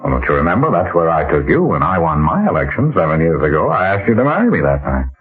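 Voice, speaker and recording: Casting: an easygoing, hearty mayor and husband, animated delivery, vintage radio drama with narrow, dull sound. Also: male voice, low-pitched, fast, slightly rough, exaggerated voice. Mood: relaxed, fond. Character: genial outgoing mayor, devoted easygoing husband. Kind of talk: old-time radio drama acting. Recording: dull old radio recording with music.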